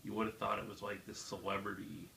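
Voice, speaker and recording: A man's voice: fairly low pitch, little pitch movement, fast talker, creaky, sharp tone. An American man co-hosting in casual chat, telling stories in a wry, mock-solemn way. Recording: roomy echo, background noise.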